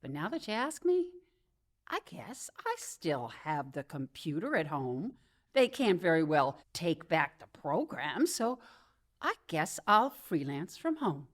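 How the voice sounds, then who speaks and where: A woman's voice: sits low, very animated, unhurried, heavily acted. A woman acting a sarcastic, wisecracking career woman who has just been fired, in a comedic radio drama. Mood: rueful bravado.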